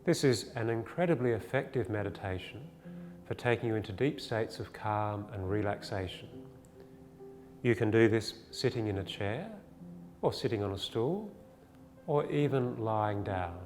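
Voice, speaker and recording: A man with a fairly low voice leads a guided meditation. He speaks in a soothing, unhurried way and leaves pauses between instructions. His voice carries a long reverb, and faint music plays behind it. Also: expressive, a little mellow. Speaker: meditation teacher. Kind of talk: guided body scan meditation.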